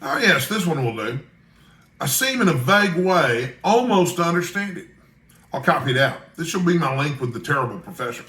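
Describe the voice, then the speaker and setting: An eager young reporter, acted out by a man reading aloud in a storybook narration. He is courteous, earnest and sure of himself. His bright voice carries clear room echo. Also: on the high side, big pitch swings, normal speed. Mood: self-deprecating. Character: Malone, eager, polite, self-assured young male newspaper reporter. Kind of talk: audiobook character dialogue.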